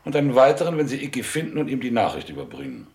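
An actor playing a middle-aged, elegant mobster in a German radio drama, businesslike and coolly threatening. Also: male voice, mid-range voice, huge pitch swings, rapid-fire, darkish tone. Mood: businesslike threat.

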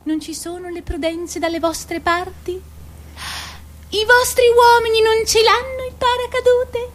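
A woman's voice: extremely high pitch, steady tone, unhurried. A woman playing a haughty, scornful welfare office clerk in a satirical stage drama, bossy and hectoring, repeating her phrases. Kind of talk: theatrical satirical acting.

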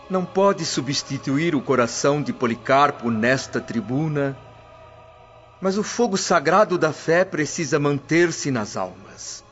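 An actor playing a humble, devout freed slave preaching earnestly to a crowd of Christians. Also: male voice, a little high. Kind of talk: radio drama acting. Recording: dull audio with soft music.

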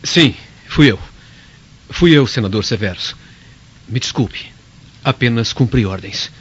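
Husky, humble Roman slave. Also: male voice, mid-range voice, lively intonation, a little slow. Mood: contrite. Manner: deferential, earnest. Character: humble, dutiful slave confessing to his master. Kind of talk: radio drama acting. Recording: dull lo-fi radio drama recording.

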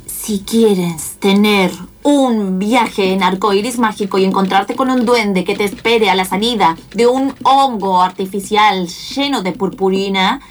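A woman playing an eccentric, playful scientist in a comic radio play, with theatrical, drawn-out phrasing. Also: mid-range voice, natural voice. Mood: playfully enticing.

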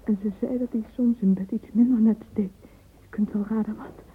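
Breathy, dreamy young woman played by an actress. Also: on the high side, steady tone, normal speed, close to an everyday voice. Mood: hushed, infatuated. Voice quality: breathy, whispery. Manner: intimate, hushed, fervent. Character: dreamy romantic young woman lost in yearning love fantasies. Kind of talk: radio play interior monologue. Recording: phone-like, faintly noisy radio recording.

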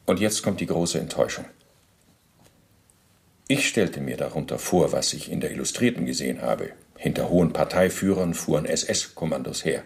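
An actor playing a former SS officer under interrogation in a radio drama, recounting in a precise, bureaucratic, self-justifying way. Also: male voice, extremely low pitch, lively intonation, normal speed, vocal fry, exaggerated voice. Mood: matter-of-fact, self-justifying.

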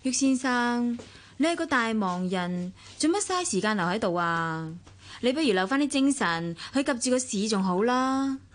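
A woman's voice: fairly high pitch, lively intonation, normal speed, lightly acted. A woman with a Hong Kong accent acting a weary, proud young wife in a radio drama, with a cutting, sardonic manner. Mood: cool, cutting. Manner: cutting, sarcastic. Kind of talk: Cantonese radio drama acting, monologue and dialogue.

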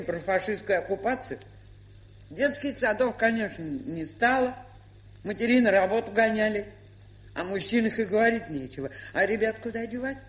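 A woman's voice: medium pitch, lightly acted. An older woman playing a kindly, chatty village aunt in an audio play, telling stories in a plain, folksy way. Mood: warm reminiscing. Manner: folksy, unhurried storytelling with fond scolding. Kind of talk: audio play monologue and dialogue. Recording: hissy, humming 1970s record.

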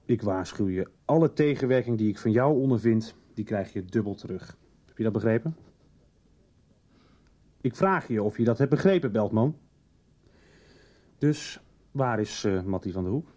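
An actor playing a stern, threatening police detective who questions sarcastically in a radio drama. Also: male voice, fairly low pitch, lively intonation, moderate pace, long pauses, mellow. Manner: pressing, sarcastic interrogator. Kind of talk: 1990s radio drama acting. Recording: dull lo-fi 1990s radio drama.

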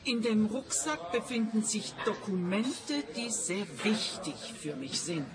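A woman's voice: medium pitch, big pitch swings, a little slow, a crowd in the background. A woman playing an eccentric, prickly and secretive client in a radio play, talking curtly and insistently. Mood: secretive, earnest. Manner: curt, insistent.

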